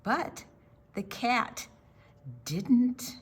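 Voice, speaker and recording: An older woman reading aloud to young children, deliberate and expressive, with chatty asides and questions to her listeners. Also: on the high side, breathy edge. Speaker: children's storytime reader.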